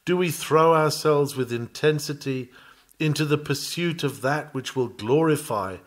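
Earnest man reading a sermon. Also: on the high side. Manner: earnest, measured, preacherly delivery. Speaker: sermon reader. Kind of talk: reading a Victorian sermon aloud.

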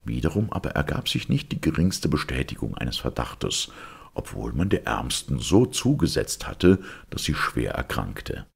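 Super deep raspy guy reading a ghost story, solemn and measured. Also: big pitch swings. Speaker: storyteller reading folk tales. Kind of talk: scripted narration of a ghost legend.

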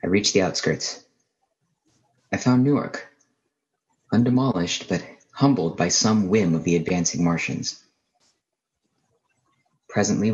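An actor playing a haunted astronomy professor, lone survivor of an alien invasion, narrating a radio play in a halting, brooding, literary way. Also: male voice, fairly low pitch, lo-fi sound, close to an everyday voice. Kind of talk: dramatic first-person radio play narration.

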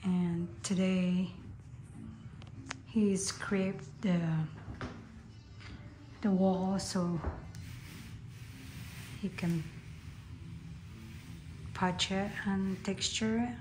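A woman walking through a room being renovated, chatting in a halting way and cheering on her husband's work, filming on her phone. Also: medium pitch, fairly even intonation, a little mellow, faint music behind. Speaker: vlogger showing home renovation. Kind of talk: casual home renovation walkthrough. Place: bare room under renovation, indoors. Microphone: phone held while filming. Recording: echoey empty room with background noise.

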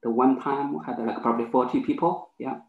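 A man's voice: mid-range voice, fairly even intonation, brisk, roomy sound, narrow, tinny audio. A man whose first language is not English, hesitantly asking a question over a headset on a video call. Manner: hesitant, many fillers. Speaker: audience member asking a question.

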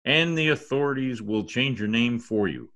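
A man's voice: medium pitch, lively intonation, moderate pace, a bit bright, close to an everyday voice. An older man playing a pompous, exasperated radio star with a theatrical manner in old-time radio comedy. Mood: grandly sardonic. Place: indoor home office. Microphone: computer mic on video call.

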